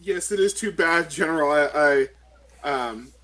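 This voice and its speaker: A woman playing a tabletop game, chatting casually and role-playing, often halting and correcting herself. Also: sits low, a bit bright, poor audio.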